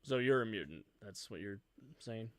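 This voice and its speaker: A skeptical wizard and former soldier voiced by a man talks in a low, dry tone with curt, pointed questions. The sound is close and dry, with no room behind it. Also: lively intonation, moderate pace, no room sound, lightly acted. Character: gruff American wizard, war veteran, irritable. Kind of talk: tabletop roleplay in character.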